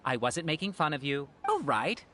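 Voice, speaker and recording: A woman's voice: low-pitched, sing-song, moderate pace, a bit bright, a little hiss or hum. A woman playing an outgoing, teasing, sociable townswoman in a video game, exuberant and bold. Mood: cheerful, playful. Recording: faint music behind the voice.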